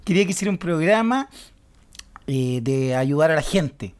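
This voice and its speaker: A man's voice: a little high, animated, moderate pace. A Chilean man in his thirties co-hosting a comedy podcast, joking and ranting with mock indignation. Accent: Chilean Spanish. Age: 30s. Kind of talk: comedic banter.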